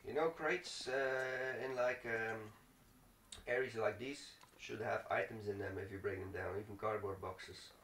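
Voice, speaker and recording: A man's voice: lowish voice, fairly even intonation, measured pace, a bit bright. A man giving casual let's play commentary, muttering to himself and swearing mildly. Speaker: gaming video host. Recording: roomy, distant mic, clearly noisy with clicks.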